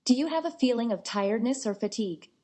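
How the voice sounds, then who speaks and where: A woman's voice reading screening questions as an automated app prompt, in an even, neutral manner. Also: fairly high pitch, quick pace.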